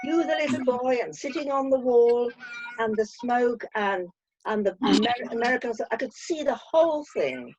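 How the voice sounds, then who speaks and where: A woman's voice: a little high, moderate pace, a little mellow, husky, muffled recording. A woman giving casual, enthusiastic and emphatic feedback over a video call.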